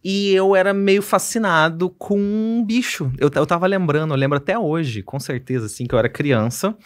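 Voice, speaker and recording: A man's voice: sits high, moderate pace. Young Brazilian man telling stories casually. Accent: Brazilian, mild Cuiabá. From Cuiabá, Mato Grosso, Brazil. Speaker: podcast guest, psychiatrist. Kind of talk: casual chat, personal storytelling. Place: podcast studio, indoors. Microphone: desk broadcast microphone up close.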